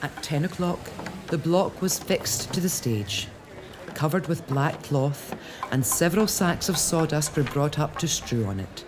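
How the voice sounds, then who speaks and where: A male narrator who tells the story in a lively, fairly high voice with a wide, animated pitch. Background ambience is clearly heard behind him. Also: noisy background. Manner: measured, expressive storytelling. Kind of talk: scripted period narration.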